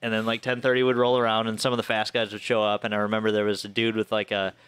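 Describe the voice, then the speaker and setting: A man's voice: on the low side, level tone, quick pace, a bit bright, clean tone, no echo. A thirty-year-old American man telling personal stories in casual, informal chat with some swearing. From Flint area, Michigan, US.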